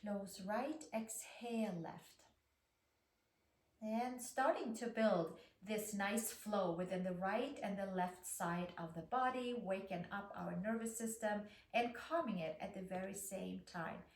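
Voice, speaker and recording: A woman with a Swedish accent gently guiding a yoga class, calm and soothing as she talks students through breathing. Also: medium pitch, measured pace. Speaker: yoga instructor. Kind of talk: guided yoga class instruction. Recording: roomy echo, distant mic.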